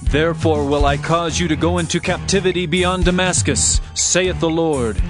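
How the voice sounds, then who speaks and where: A man reads scripture as a dramatized narration. His voice sits a little high for a man, and his delivery is solemn and declamatory. Music plays clearly behind him. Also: fairly even intonation, moderate pace. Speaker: Bible narrator. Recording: music under the voice. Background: background music.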